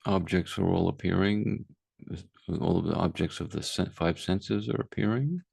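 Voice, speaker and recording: A man guiding a meditation as a teacher, speaking calmly and unhurriedly with long pauses. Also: sits low, vocal fry, husky, dry recording. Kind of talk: guided meditation, unscripted.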